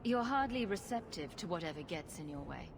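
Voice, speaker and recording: Wry, low-voiced female rogue. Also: animated, fairly quick, gravelly, background noise. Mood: challenging. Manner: dry, sarcastic, direct. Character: wry, sarcastic adult female rogue hero. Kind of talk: fantasy video game acting.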